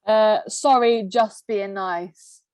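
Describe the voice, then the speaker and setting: A woman's voice: medium pitch, steady tone, takes it slowly, a little mellow, clean tone, dry recording. A woman co-hosting a podcast, half Greek and half English with Greek as her first language, bluntly reading out messages, laughing often and swearing. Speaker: podcast co-host. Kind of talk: casual chat reading out messages.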